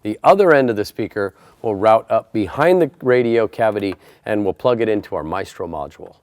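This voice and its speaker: A man's voice: on the low side, animated, fairly quick, darkish tone, no echo, studio quality. A man presenting a how-to installation tutorial, explaining each step in a plain, methodical way. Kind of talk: step-by-step instructional narration.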